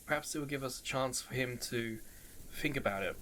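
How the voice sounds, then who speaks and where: A man's voice: mid-range voice, a little fast, a bit bright, slight background noise, poor audio. A man giving casual let's play commentary on a visual novel, musing aloud and often asking questions as he goes. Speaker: gaming video host. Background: game music with mallet percussion.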